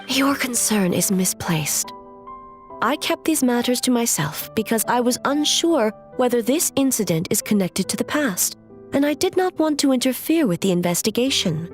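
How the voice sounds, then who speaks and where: An actress voicing a composed, stern female shogun in an anime game, with measured, formal and authoritative speech. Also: on the high side, expressive, faint music behind. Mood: calm, guarded.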